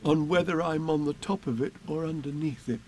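A man voicing a slow, modest, simple-minded bear from a storybook, speaking in a ponderous way. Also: fairly high pitch, a little mellow, lightly acted. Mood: musing.

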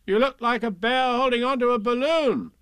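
Man doing a high-pitched, curious young boy. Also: normal speed, fairly bright tone, clean tone, no room sound. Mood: frank, excited. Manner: high, innocent, questioning. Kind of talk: children's storybook reading.

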